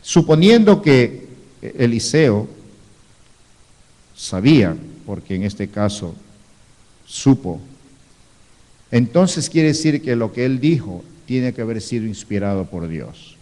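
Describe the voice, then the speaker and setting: A man's voice: mid-range voice, very animated, takes it slowly, long pauses, mellow. A man preaching as a pastor, teaching in a sermon, reading scripture aloud and adding rhetorical asides. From Ecuador. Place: church hall, live stream. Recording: reverberant church hall.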